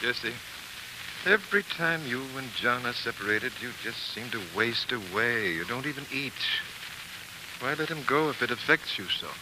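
A man's voice: medium pitch, lively intonation, moderate pace, lightly acted. An actor playing a stern, worried, protective father in a 1940s radio drama, with a firm, admonishing manner. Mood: gently worried. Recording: faintly hissy 1940s radio recording.

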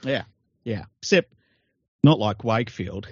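A man from Western Sydney with an Australian accent, co-hosting a casual sports podcast, blunt and quick to swear and laugh at his own jokes. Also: fairly low pitch, lively intonation, no echo, lo-fi sound. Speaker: podcast co-host.

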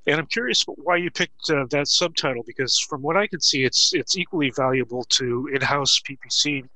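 A man hosting a podcast interview, friendly and halting, with frequent filler words. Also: medium pitch, sharp tone, no echo, rough recording. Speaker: podcast host. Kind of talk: casual interview chat.

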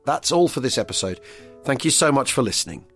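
Genial male host with a lively voice. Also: mid-range voice, brisk, faint music behind. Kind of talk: scripted narration.

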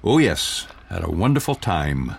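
An older husband with a very deep voice. He is mild, affable and understated, speaking in short, low-key phrases in a natural audio-comedy manner. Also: very animated, a little slow, gravelly, breathy edge, exaggerated voice. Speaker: actor in an audio drama.

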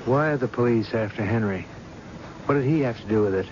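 Actor as an earnest family friend, muffled radio. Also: male voice, fairly low pitch, a little fast, natural voice. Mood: concerned. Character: exasperated, responsible family friend, a man. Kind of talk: 1970s radio drama acting. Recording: muffled old radio recording, faint noise.

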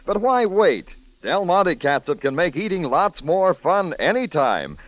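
A man's voice: high voice, very animated, unhurried, no echo. An American man working as a 1940s radio announcer, selling in a folksy, emphatic commercial style. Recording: dull, dry old radio broadcast.

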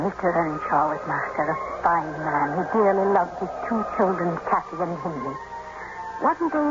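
An actress playing a devoted housekeeper who narrates a family's history in a measured storytelling manner for a 1950s radio drama. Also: female voice, on the low side, lightly acted. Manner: measured, storytelling, deferential to her master. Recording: muffled old radio broadcast, loud music behind.

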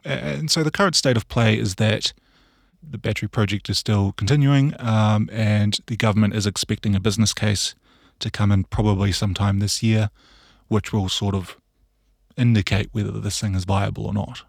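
A man's voice: on the low side, fairly even intonation, moderate pace, creaky, breathy edge, no echo, clean studio sound. A man giving conversational answers as a journalist in an interview.

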